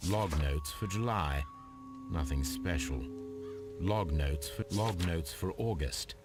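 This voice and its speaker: Composed Victorian detective, very low voice, British accent, formal, analytical, deliberate, game dialogue over prominent music. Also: male voice. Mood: pensive. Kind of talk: video game dialogue and musing aloud.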